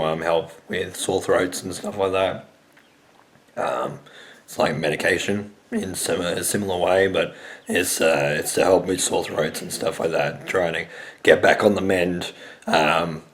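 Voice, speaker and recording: A young man with a hoarse, raspy voice from a sore throat, hosting a casual sports vlog and rambling with many hesitant fillers. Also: deep voice. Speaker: sports show host.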